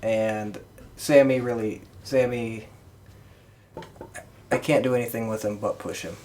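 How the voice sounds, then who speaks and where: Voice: medium pitch, fairly even intonation, unhurried, darkish tone. A podcast co-host talking casually and informally with friends.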